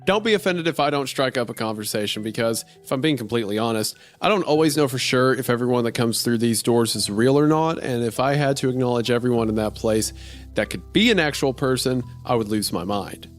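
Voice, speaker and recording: A man's voice: medium pitch, brisk. A man narrating a strange first-person horror-comedy story in a wry, conversational way. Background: faint music.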